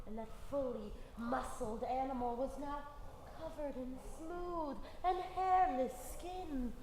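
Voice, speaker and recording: High-voiced woman storyteller in a roomy hall. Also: takes it slowly, a little mellow. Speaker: storyteller in a performing troupe. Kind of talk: live theatrical folk-tale narration. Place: community hall performance. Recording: roomy hall with background noise.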